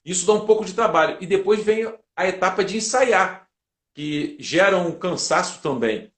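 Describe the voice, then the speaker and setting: A man's voice: high voice, expressive, normal speed, fairly bright tone. A Brazilian man answering as an interview guest, explaining methodically like a teacher and building his points in lists. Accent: Brazilian Portuguese. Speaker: guest, public speaking teacher and lawyer. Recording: roomy, echoing sound.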